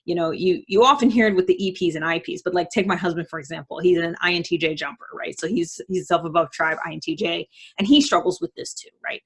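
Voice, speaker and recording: A woman's voice: on the low side, fast, few pauses, slightly rough. A woman giving casual motivational advice as a host, conversational and emphatic, often voicing imagined self-talk.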